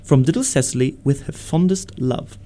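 A man acting in a radio play as a witty, idle young gentleman in a comedy of manners, with arch, languid, ornate phrasing. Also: mid-range voice, moderate pace, natural voice. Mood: triumphant, needling. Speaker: amateur radio theatre actor.

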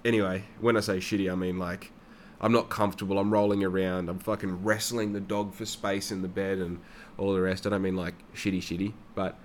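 A man's voice: low voice, flat delivery, fairly quick, a little hiss or hum. A young man rambling through a casual personal monologue, swearing freely. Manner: rambling and heavily profane.

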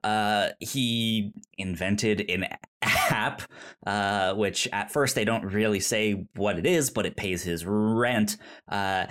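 Halting, slow-paced man chatting casually. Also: lowish voice, a bit bright. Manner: halting, stammers and repeats syllables. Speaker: podcast co-host. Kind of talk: casual film discussion chat.

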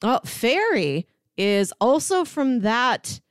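A woman with a very animated, mid-pitched voice chats as a podcast co-host. She is talkative and playful, in a casual style. The sound is close and dry. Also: takes it slowly, clear, resonant tone, no echo. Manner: chatty, playful. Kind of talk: casual podcast chat about media.

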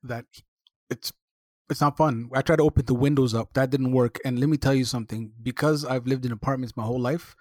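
A man's voice: medium pitch, fairly even intonation, brisk, a little mellow, dry recording. Friendly easygoing guy from somalia cohosting a podcast, mid-range voice, dry sound. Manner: friendly, easygoing, self-reflective. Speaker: podcast co-host. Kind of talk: casual conversational podcast.

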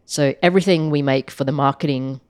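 A woman with a croaky voice from being sick chats loosely and fills her sentences with "like". The sound is dry and close, with no room around it. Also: low-pitched, fairly even intonation, brisk, a little mellow, no room sound. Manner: chatty, many "like" fillers, laughs easily. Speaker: podcast co-host, app maker. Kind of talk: casual chat.

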